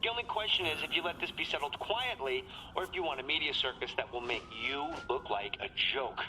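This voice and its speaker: Bright, smug corporate executive. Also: male voice, fairly high pitch, expressive, brisk, a little hiss or hum, poor audio. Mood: smugly coercive. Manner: glib, sardonic, polished. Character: smooth, smug, calculating male corporate executive. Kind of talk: video game cutscene acting.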